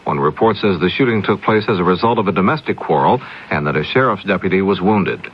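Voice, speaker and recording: Guy reading 70s radio news, low husky voice, muffled old am radio sound. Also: expressive, fast talker, slight background noise. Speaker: radio newscaster. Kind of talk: scripted 1970s radio news bulletin. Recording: dull lo-fi 1970s AM radio recording.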